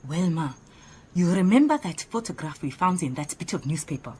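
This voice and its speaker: Animated, opinionated woman assistant. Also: fairly low pitch, normal speed, husky. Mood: proud, eager. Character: earnest, opinionated female detective's assistant, proud and chatty. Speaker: actor in radio drama. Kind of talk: radio drama acting.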